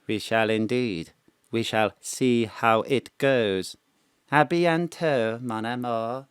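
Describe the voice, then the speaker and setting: A languid, sinister theatre bookkeeper, played by a man in an audio drama, arch and mannered with a drawl. His voice is smooth and resonant. The sound is dry and close. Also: mid-range voice, slow pace, dry recording, natural voice. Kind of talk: live remote audio drama acting. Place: home studio.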